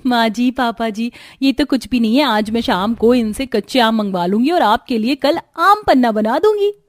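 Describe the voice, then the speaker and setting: A woman's voice: a little high, lively intonation, normal speed, no echo, natural voice. A woman playing a dutiful young village daughter-in-law in a cartoon, polite and reassuring. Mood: caring. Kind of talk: Hindi cartoon story dubbing.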